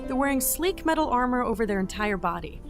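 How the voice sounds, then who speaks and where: A woman acting as an inquisitive, brash young agent in a sci-fi audio drama. Her voice sits high and swings widely in pitch, with an informal, easily defensive manner. Music plays clearly behind her. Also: lively intonation, fast. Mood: curious, observing. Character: brash, inquisitive young field entity logging a mission.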